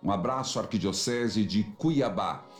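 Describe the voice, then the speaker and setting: A Brazilian man, a priest hosting a radio call-in show, who exhorts his listeners in an emphatic, fatherly way, his pitch leaping upward as he presses a point. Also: unhurried, reverberant, faint music behind.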